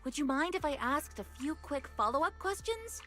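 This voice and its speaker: A woman's voice: high voice, big pitch swings, normal speed, fairly bright tone. A woman playing a polite, friendly shopkeeper with a courteous manner in a video game. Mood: polite, cheerful. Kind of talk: English video game voice acting. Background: game music.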